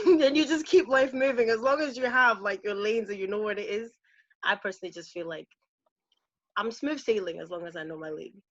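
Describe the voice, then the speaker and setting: A woman's voice: on the high side, a little fast, no echo, dull audio. A woman chatting casually on a podcast in a candid, emphatic way.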